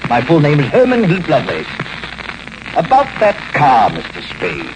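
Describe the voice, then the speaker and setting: A courtly gray-haired crook speaks with pompous, fussy gentility. His mid-pitched voice rises and falls freely. It comes from a noisy old radio drama. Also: wildly swooping pitch, moderate pace. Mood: genial, courtly. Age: older. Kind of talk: 1940s radio acting. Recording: noisy vintage radio recording.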